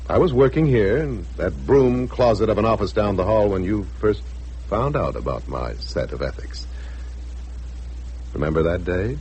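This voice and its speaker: A man's voice: on the low side, lively intonation, moderate pace, a little mellow, slightly rough, muffled recording. An actor playing a suspicious, scheming and smug husband and businessman in a brooding, calculating 1960s radio drama style. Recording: noisy old radio drama recording.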